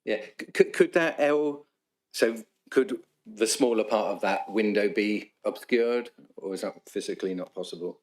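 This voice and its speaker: A man chairing a council planning committee, polite but halting, often restarting words. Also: lowish voice.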